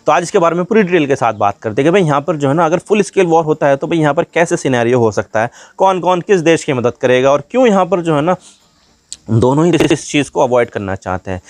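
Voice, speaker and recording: A man's voice: medium pitch, expressive, fast, darkish tone, dry recording. A man hosting an informal current-affairs explainer, talking conversationally to viewers with repeated filler phrases.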